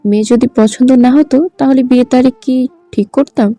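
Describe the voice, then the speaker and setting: A woman playing a concerned, gently chiding young sister in an acted audio story. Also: high voice, moderate pace, no echo. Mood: chiding, familiar.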